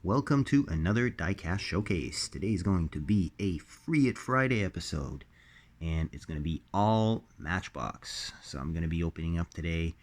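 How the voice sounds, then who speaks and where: A man with a slightly raspy voice while recovering from illness, hosting a casual unboxing, halting with many ums and uhs. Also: low-pitched, lively intonation. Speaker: diecast collector host.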